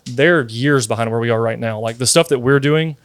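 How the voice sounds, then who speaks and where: A man's voice: medium pitch, brisk, no room sound. A man of thirty, a company founder, telling his story in a casual interview, chatty and full of "like" and "dude". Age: 30s. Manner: chatty, many fillers like "like" and "dude". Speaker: guest, sweet tea company founder.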